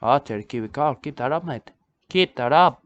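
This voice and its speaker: A man playing an odd male preacher of a nudist church, who talks in halting broken English and keeps repeating himself. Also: mid-range voice, lively intonation, a bit bright, lightly acted. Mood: rambling. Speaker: self-styled church leader. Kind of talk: rambling comic monologue. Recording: dull lo-fi recording.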